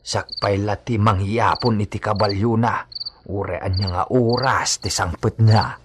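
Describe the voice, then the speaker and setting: A low-voiced village man, acted by a man in an Ilocano radio drama. He talks over household matters as a character would, with faint noise in the recording. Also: fairly even intonation, a little slow, lightly acted. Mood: settled, matter-of-fact.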